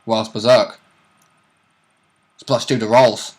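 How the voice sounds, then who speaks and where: A man's voice: fairly low pitch, level tone, slow pace, darkish tone. A man co-commentating on a tabletop role-play vidcast, chiming in eagerly with casual banter about rules and fighters.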